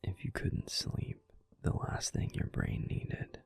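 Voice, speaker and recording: A man's voice: slow, no room sound, soft background music, natural voice. A man voicing a grumpy but tender male werewolf boyfriend in a whispered bedtime audio roleplay, fussing protectively and then soothing. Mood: grumbling. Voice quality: gruff and soft whispering.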